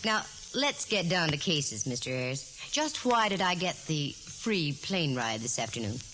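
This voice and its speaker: A woman's voice: low-pitched, very animated, a little slow, sharp tone, exaggerated voice, low-quality recording. An actress playing a wry, self-assured female private eye in the style of 1940s radio detective drama. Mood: cool, firm. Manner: wry, breezy, quippy. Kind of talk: 1940s radio detective drama acting with first-person narration. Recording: vintage 1940s radio recording with music.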